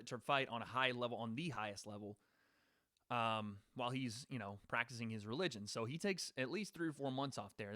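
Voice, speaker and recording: A man's voice: mid-range voice, sharp tone, no echo. Casual man talking sports on a dry mic. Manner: casual, rambling, talks to live chat. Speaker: podcast host. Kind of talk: live-stream sports predictions.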